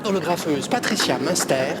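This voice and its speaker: A slightly high-pitched exhibit narrator voiced by a man speaks in formal, promotional sentences. Loud music runs under the scripted presentation. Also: animated, moderate pace, natural voice. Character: grand male museum exhibit narrator.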